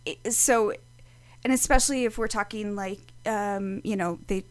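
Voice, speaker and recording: A woman's voice: medium pitch, a little slow, no echo. A woman, a psychic medium, chatting casually as a podcast guest, laughing often and restarting her sentences. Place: studio.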